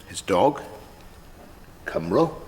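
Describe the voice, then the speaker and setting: A man in a British TV drama delivering a formal, measured report to a court. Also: on the low side, big pitch swings, darkish tone, big-room sound, slight background noise, heavily acted. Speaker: court welfare officer giving a report.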